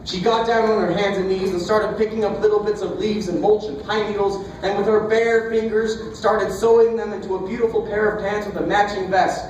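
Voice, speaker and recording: A man telling a comic fairy tale live at a festival, in a playful, theatrical way with deliberate pauses. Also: sits high, steady tone, a little mellow. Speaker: storyteller. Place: storytelling festival, live performance. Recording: echoey, distant, noisy live festival recording.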